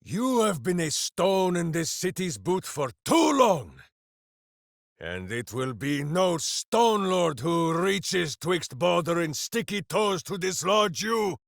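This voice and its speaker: A big, simple-souled heroic berserker from a fantasy video game. He is bombastic and good-hearted, with slow, deliberate phrasing that rises and falls dramatically. The recording is close and dry. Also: sits high, sing-song, gravelly, dry recording, exaggerated voice. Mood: battle fury. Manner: bombastic, earnest, talks of himself in third person.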